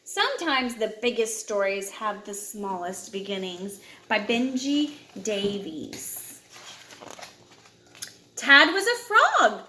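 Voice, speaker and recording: A woman's voice: mid-range voice, big pitch swings, takes it slowly, pushed and bright, reverberant, slight background noise. A woman reading a picture book aloud expressively, as a storyteller to a child, with dramatic emphasis on key words.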